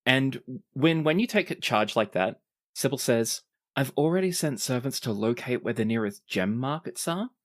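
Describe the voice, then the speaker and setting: Game master for tabletop actual play, middling pitch, playful off-the-cuff narrator. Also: expressive, moderate pace.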